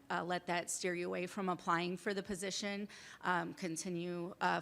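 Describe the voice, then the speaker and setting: A woman presenter answering audience questions at a workshop, explaining in halting sentences full of fillers. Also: on the low side, vocal fry, fairly bright tone. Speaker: civil service program presenter.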